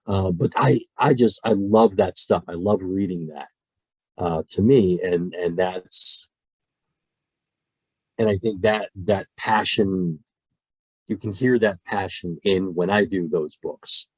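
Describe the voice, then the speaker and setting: A man with a low, husky voice speaks eagerly about his craft, restarting sentences as his thoughts run ahead. He sounds close and dry, with no room around him. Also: takes it slowly, no echo, poor audio. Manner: animated, many restarts, passionate about storytelling. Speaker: guest voice actor. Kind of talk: casual podcast conversation.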